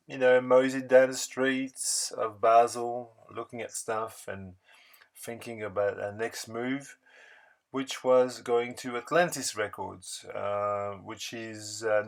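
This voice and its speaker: A man narrating a casual vlog voice-over in a halting, unscripted, rambling way. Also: medium pitch, steady tone, vocal fry. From France. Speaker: record-collecting video blogger.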